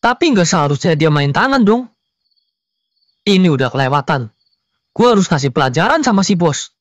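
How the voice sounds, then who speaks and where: A man's voice: a little high, big pitch swings, normal speed, dry recording, highs cut off. A man voicing a blunt, protective and resentful young hotel worker in a cartoon, using slang. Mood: indignant. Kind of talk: cartoon dialogue, casual slang.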